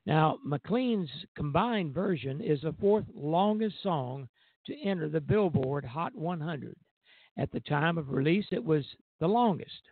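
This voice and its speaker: A male radio host comes through a thin, phone-like connection. His voice has a gravelly creak and sits fairly close and dry. He reads prepared music history haltingly, breaking phrases and stumbling over the odd word. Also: fairly high pitch, animated, dry recording. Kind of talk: scripted music history narration. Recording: tinny, narrow phone-like line.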